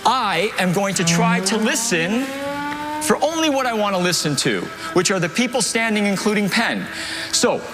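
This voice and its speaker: A man's voice: high-pitched, very animated, moderate pace, over music. A man performing a magic trick on a theater stage, giving the volunteers step-by-step instructions in upbeat live patter.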